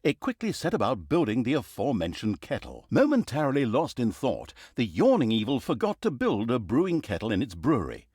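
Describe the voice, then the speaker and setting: A man's voice: fairly high pitch, no room sound, studio quality. A man playing a sarcastic, easily exasperated fantasy storyteller in a video game, with theatrical, mock-grandiose comic narration. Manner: wry, mock-grandiose, emphatic.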